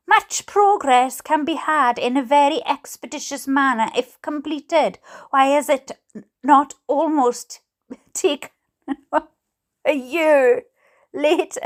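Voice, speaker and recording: A woman's voice: sits high, slow pace. A woman with a Welsh accent reading aloud in a theatrical, playful way with asides of her own. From Wales. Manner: theatrical, expressive, playful delivery. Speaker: host reading letters aloud.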